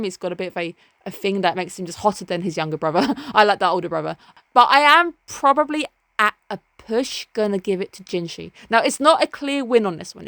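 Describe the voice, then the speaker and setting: A woman reviewing anime in a casual, chatty way. She sounds enthusiastic and adds quick asides. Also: mid-range voice, fairly bright tone, no echo. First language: English.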